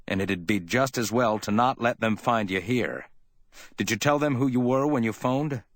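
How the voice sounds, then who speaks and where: A man's voice: mid-range voice, moderate pace, no room sound, studio quality, pitched above the performer's own voice, close to an everyday voice. A man playing a wry, offhand hardboiled man in an audiobook, speaking in a clipped, lightly amiable, dismissive way. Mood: dryly reproachful. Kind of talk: dramatic audiobook character reading.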